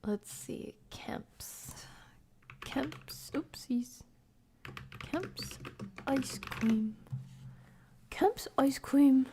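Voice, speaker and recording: A woman live streamer chatting casually with viewers, soft-spoken and often slipping into a breathy near-whisper. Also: high-pitched, animated, drawn-out words, long pauses. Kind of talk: casual livestream chat with viewers.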